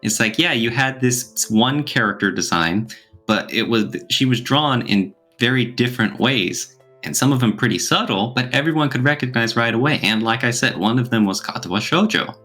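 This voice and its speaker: A man's voice: medium pitch, expressive, moderate pace, pushed and bright. A man co-hosting a casual podcast discussion, explaining his points and posing questions as he goes. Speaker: podcast co-host. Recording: music playing behind the voice. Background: background music.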